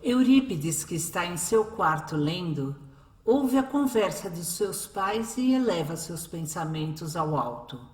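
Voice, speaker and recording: A woman narrates a scripted radio drama. Her voice is slightly low and very unhurried, with echo around it. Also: animated, darkish tone. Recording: echoey, music behind. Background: music.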